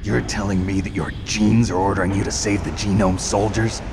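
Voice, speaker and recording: An actor playing a tough, sardonic soldier hero in a video game, with a gravelly voice. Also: male voice, on the low side, flat delivery, fairly quick, background noise, natural voice. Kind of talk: dramatic video game acting. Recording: echoing hall under loud music.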